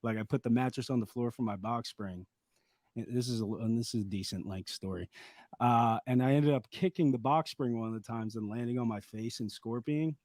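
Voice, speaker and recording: A man with a slightly raspy voice, a podcast guest rambling through a personal story in casual chat with lots of fillers. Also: medium pitch, a little fast, a little mellow, no room sound, clean studio sound. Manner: rambling, laid-back storytelling with many fillers.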